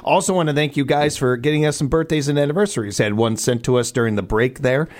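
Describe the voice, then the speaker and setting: Hoarse easygoing male radio host. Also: medium pitch, fast talker. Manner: easygoing, chatty, laughs often. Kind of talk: casual morning radio banter. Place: radio studio.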